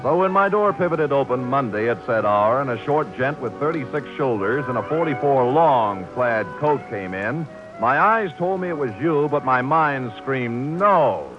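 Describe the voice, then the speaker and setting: An American man playing a sharp-tongued, glib private detective in a 1940s radio drama. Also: mid-range voice, animated, moderate pace, over music, natural voice. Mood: mock-formal dictation. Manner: glib, wry, mock-grandiose wordplay. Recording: dull vintage 1940s radio recording.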